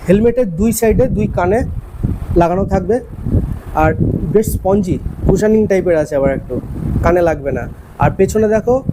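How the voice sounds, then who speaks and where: A man's voice: sits high, background noise. A man with a West Bengal Bengali accent giving a casual unboxing review, explaining things directly to viewers. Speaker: vlogger and product reviewer.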